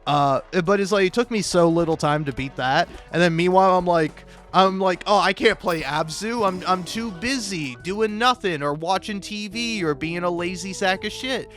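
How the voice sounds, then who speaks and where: A man giving casual, rambling game commentary in a halting, jokey way, often repeating words and restarting, swearing freely and putting himself down. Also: high-pitched, a bit bright. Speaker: video game critic and YouTuber. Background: music.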